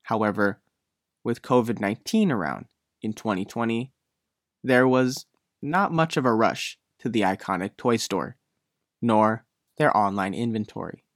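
A man's voice: fairly low pitch, lively intonation, measured pace, dry recording. Man reading a news feature, dry sound. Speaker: reporter narrating own written feature. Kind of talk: scripted news feature narration.

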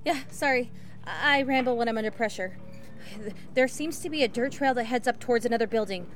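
A woman's voice: fairly high pitch, fairly quick, faint music behind, natural voice. A woman acting in an audio drama as a resourceful, reassuring hiker who rambles and swears under pressure. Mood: hopeful. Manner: naturalistic, hesitant rambling.

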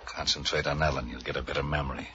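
An actor playing a suspicious, bullying ship's captain in a 1940s radio crime drama, gruff, curt and commanding. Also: male voice, very deep voice, level tone, racing, loud music behind the voice. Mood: curt, contemptuous. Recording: dull, noisy old radio recording.

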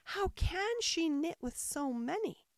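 A woman's voice: extremely high pitch, expressive, slow, no echo, studio quality, voice pushed up, full character voice. A woman voicing a polite, curious, dreamy little girl in a storybook, earnest and wistful. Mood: puzzled, musing. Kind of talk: storybook character voice.

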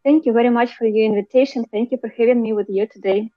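A woman from eastern Ukraine speaking English as a second language with a Ukrainian accent, explaining her work as an interview guest in halting phrases. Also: a little high, fairly even intonation, dry recording. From Kharkiv, eastern Ukraine. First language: not English. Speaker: interview guest, physician and clinical researcher. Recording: dull, lo-fi audio.

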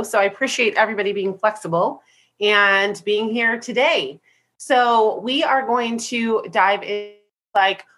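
A woman's voice: medium pitch, a bit bright. A woman with a stuffy, congested voice leads a coaching call. She talks in an upbeat, chatty way and keeps tossing in "right?" to check on her listeners. She sounds like a business coach teaching a group. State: congested from a head cold.

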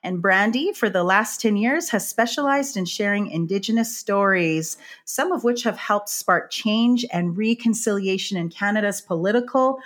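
A woman hosting a podcast, warmly introducing and questioning her guest. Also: medium pitch, moderate pace, hardly any pauses. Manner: warm, effusive introductions. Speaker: podcast host. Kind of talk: interview hosting.

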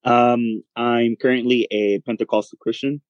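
A man's voice: medium pitch, flat delivery, moderate pace, no room sound, lo-fi sound. A man guest in a casual chat, speaking hesitantly with many ums.

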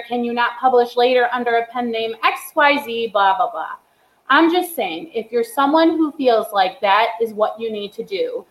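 Blunt, emphatic woman in an echoey room. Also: fairly high pitch. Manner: blunt, emphatic advice-giving. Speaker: podcast guest, writer. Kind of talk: casual chat.

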